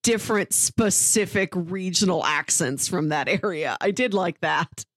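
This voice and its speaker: Low-pitched woman, close dry sound. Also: a little slow, dry recording. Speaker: podcast co-host reviewing audio fiction. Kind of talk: casual review discussion.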